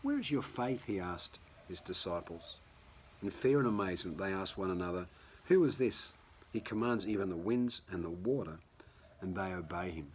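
Aussie pastor guy, bit croaky, really low voice, chatty sermon from home with faint hum behind. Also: animated, normal speed. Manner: conversational, restarts often. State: hoarse.

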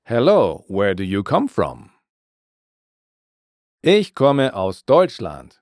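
Male podcast teacher for scripted lessons: slow, deliberate delivery, lively rise and fall in a lowish voice. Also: dry recording, clean studio sound. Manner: clear, deliberate, repeats phrases for learners. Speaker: language teacher and podcast host.

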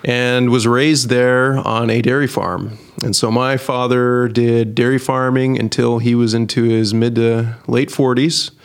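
A man from Idaho, a missionary guest telling his story in a measured, casual interview. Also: medium pitch, level tone, clear, resonant tone. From Idaho, United States.

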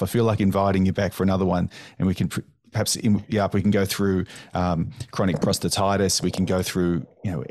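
Aussie guy hosting a podcast, lowish voice, dry close sound, lots of mm's and yeahs. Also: darkish tone, no echo. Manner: thoughtful, affirming with frequent "mm"s. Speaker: podcast host. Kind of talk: conversational interview.

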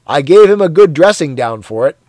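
A cheery, blustering middle-aged man , put on by an audiobook narrator, booms out boasts in a raised, higher voice. He is zealous and loud, and the recording is dry and close. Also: lively intonation, a little fast, no room sound, natural voice. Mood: boastful.

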